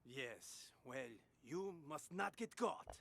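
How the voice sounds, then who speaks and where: A man's voice: fairly high pitch, lively intonation, slow pace, gravelly, a bit bright, full character voice. An actor playing an agitated, brusque criminal man in an audio drama game, with faint noise behind him. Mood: impatient, bossy. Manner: brusque, demanding. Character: agitated, impatient, bossy criminal man on the phone. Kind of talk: radio drama game acting.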